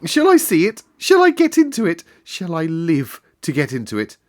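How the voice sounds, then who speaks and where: A man plays a frail young storybook boy, pitched very high and given to hysterical excitement. The delivery is eager and gasping, with big swoops in pitch. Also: moderate pace. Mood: tearful excitement. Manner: eager, gasping, sobbing exclamations.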